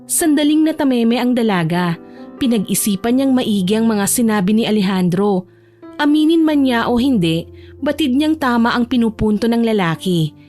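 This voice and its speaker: High-voiced Filipino woman narrating over music. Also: expressive, moderate pace, a little mellow. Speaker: storyteller. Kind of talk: scripted dramatic audiobook narration.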